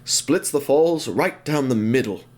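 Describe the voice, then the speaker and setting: A man playing a troubled young little steam engine in an audio drama, earnest, slow and hesitant. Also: mid-range voice, animated, darkish tone, close to an everyday voice.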